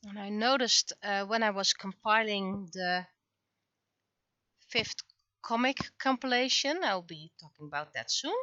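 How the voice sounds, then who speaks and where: Bright-voiced woman, dull dry recording. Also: medium pitch, dry recording. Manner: halting, thinking aloud. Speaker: livestreaming webcomic artist. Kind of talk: casual livestream chat while drawing.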